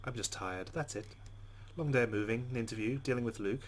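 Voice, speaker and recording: A man hosting a let's play, reading the game's narration aloud expressively with quieter casual asides. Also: medium pitch, fairly quick. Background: guitar game music.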